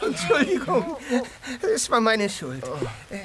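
A man's voice: very high-pitched, wildly swooping pitch, unhurried, music in the background, heavily acted. A man voicing a chatty, cocky fibber in a children's radio drama, glib and showy in delivery. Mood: flustered, concerned.

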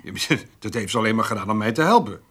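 An actor in a radio drama playing a down-to-earth, sceptical dairy farmer who talks plainly and with some hesitation. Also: male voice, fairly low pitch, expressive, fast talker, fairly bright tone, breathy edge.

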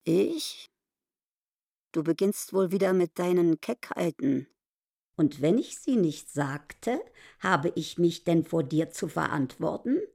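A bossy haughty innkeeper lady, lowish creaky voice, takes her time, radio play. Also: lively intonation, slow, dark tone, full character voice. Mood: indignant, accusing. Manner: imperious, probing, mocking. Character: haughty, suspicious, domineering village inn landlady. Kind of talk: German literary radio drama acting.

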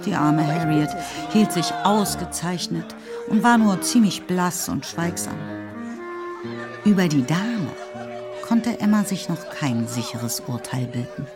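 A woman's voice: on the low side, lively intonation, slow. A woman narrating a literary radio play in a scripted storytelling style. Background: music.

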